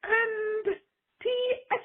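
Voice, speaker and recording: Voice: very high-pitched, completely flat delivery, very slow, narrow, tinny audio, heavily acted. Seseorang memerankan ksatria konyol yang merengek dan menjerit, membacakan daftar kartu dengan kata-kata diulur dalam pesan suara komedi. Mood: shrill, whiny. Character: shrill, wailing comic knight caller reading card lists. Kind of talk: comedic voicemail message.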